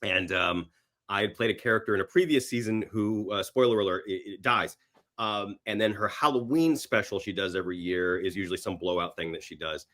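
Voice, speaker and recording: A man with a fairly low voice speaks as a guest in a relaxed interview. His delivery is conversational and unscripted, with a self-deprecating, joking streak. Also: brisk. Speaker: podcast guest; actor and screenwriter. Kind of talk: casual interview chat.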